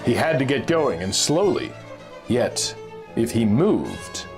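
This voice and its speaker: A man's voice: medium pitch, wildly swooping pitch, takes it slowly, over music. A man narrating a scripted adventure story in a theatrical, suspenseful storytelling manner. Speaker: narrator reading a pulp magazine story.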